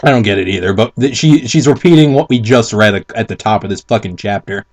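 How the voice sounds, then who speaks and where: A man's voice: fairly low pitch, fast talker. A man doing a casual dramatic reading as co-host, mixing it with crude, sarcastic, exasperated commentary. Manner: sarcastic, foul-mouthed, exasperated. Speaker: co-host reading and mocking a fanfiction.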